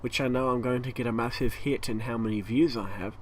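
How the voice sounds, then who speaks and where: Man, Australian accent, hoarse croaky voice, breathy, rambling, middle pitch, faint fan hum. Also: fairly even intonation, a little fast. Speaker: YouTube gaming channel host. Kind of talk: casual channel update monologue. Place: hot home room with a fan running. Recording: faint fan noise behind.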